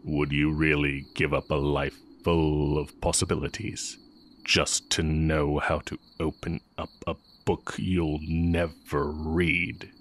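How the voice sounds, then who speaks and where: A man acting as a heretic sorcerer and former teacher with an extremely low voice. He speaks slowly and deliberately, leaving long pauses. His manner is sly, brooding and tender, played close and intimate as in a fantasy audio roleplay. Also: flat delivery, a little hiss or hum, full character voice. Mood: coaxing, teasing. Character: exiled heretic male magic teacher, sly, brooding, tender dark mage.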